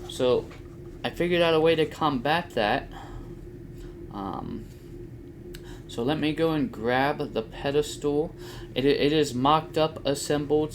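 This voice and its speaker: Halting middle-aged man, casual explainer. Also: mid-range voice. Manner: halting, thinking aloud. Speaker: host of a DIY flight simulator build channel. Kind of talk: casual hands-on build explanation. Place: small home workshop room, indoors. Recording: faint background room noise.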